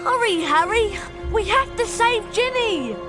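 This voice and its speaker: Nervy boy sidekick, very high animated voice, blurting, video game, music behind. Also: measured pace. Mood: alarmed. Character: anxious, sceptical schoolboy sidekick wizard, about twelve.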